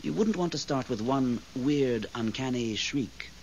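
An actor playing a wry, theatrical otherworldly guide to ghosts in a 1970s radio drama. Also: male voice, mid-range voice, expressive, measured pace. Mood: wry instructing. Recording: dull, faintly hissy 1970s radio.

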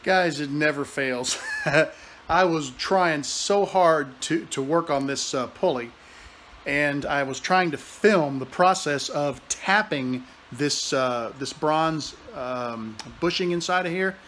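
A man in his forties talking casually through his workshop projects, relaxed and chatty with many fillers and restarts, filmed on a phone held at arm's length in his home workshop. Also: fairly high pitch, slow, slight background noise. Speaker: woodworking and metalworking hobbyist. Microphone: phone camera held at arm's length.

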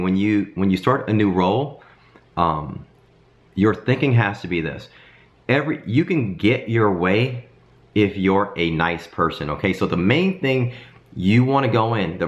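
Casting: man in his 30s, deep voice, slightly hoarse, talking casually to camera at home. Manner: animated hand gestures, frequent "um" and "okay?". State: hoarse from a cold. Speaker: design career vlogger. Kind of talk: casual advice talk to camera. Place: home kitchen, indoors.

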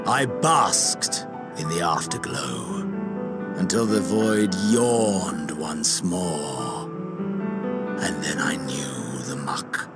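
A cold villain prince from a video game, grave and menacing. His voice is extremely deep and unhurried, with loud music behind it. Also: heavily acted. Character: brooding, bored warrior prince, coldly obsessed male villain. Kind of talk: dramatic video game cutscene acting.

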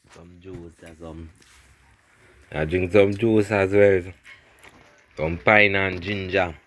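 A man's voice: low-pitched, fairly even intonation, slow, a bit bright. A middle-aged man with a Jamaican accent chatting casually in patois for a home vlog, often repeating his phrases. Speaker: family vlogger. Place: outdoors on a wooden veranda at home. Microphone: handheld phone.

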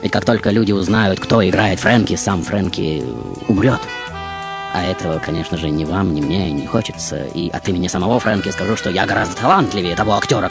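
Actor as a deep-voiced, pompous mad showman. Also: lightly acted. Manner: pompous, dramatic, drawn-out pauses. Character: grandiose, mad male actor-genius, vain showman of many roles. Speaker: radio show host in character. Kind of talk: theatrical radio monologue. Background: music.

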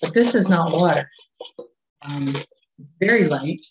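Canadian woman in her sixties, low voice, slow and gentle children's-time talk, dull muffled webcam sound. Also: lively intonation, a little hiss or hum. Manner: gentle, unhurried, coaxing questions to children. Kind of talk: children's story at a church service. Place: small office at home. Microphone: webcam on a video call.

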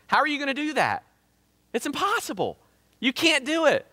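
A man in his thirties with a Southern Ohio accent preaches from a church stage, his voice bright and forceful. He leans on rhetorical questions, and his pitch rises and falls widely. The sound carries a long hall reverb. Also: huge pitch swings, moderate pace. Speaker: pastor. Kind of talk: sermon. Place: church stage in front of a drum kit.

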